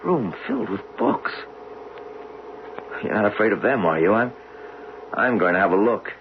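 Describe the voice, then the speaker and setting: A man acting in a 1970s radio drama as a driven, learned geologist, speaking intensely and deliberately with theatrical pauses. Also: fairly low pitch, very animated, creaky. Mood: curious, absorbed. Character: driven, learned geologist obsessed with solving a buried mystery. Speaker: actor in a radio play. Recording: dull, noisy 1970s radio recording.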